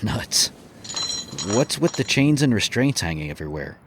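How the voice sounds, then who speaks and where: A man's voice: mid-range voice, big pitch swings, moderate pace, background noise. An actor playing a sarcastic, wisecracking male guest in a horror audio drama. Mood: incredulous. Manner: sarcastic, joking. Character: flippant, wisecracking horny young male guest, Alec.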